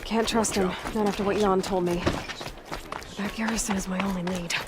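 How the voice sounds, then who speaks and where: Woman acting a young game heroine, resolute and wary, mid pitched, very direct, clear noise behind her. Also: steady tone, moderate pace, natural voice. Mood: guarded, determined. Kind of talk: video game dialogue acting.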